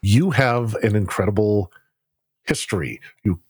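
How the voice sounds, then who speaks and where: A man with a husky voice and a dry, close sound hosts a casual podcast chat. He talks haltingly, with lots of fillers and restarts. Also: fairly low pitch, no echo. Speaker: podcast host.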